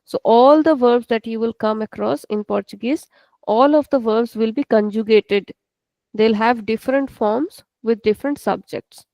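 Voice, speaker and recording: Woman teacher, Indian English accent, slightly high voice, gravelly fry, dry close sound, patient step-by-step explaining. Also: moderate pace, warm tone, no room sound, low-quality recording. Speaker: language teacher. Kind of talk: online class lesson.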